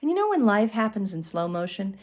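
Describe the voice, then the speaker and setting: A middle-aged woman telling a personal comic story to camera in a theatrical, expressive way with dramatic pauses. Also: medium pitch. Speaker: storyteller, channel host. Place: indoors, small curtained room. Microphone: phone at arm's length.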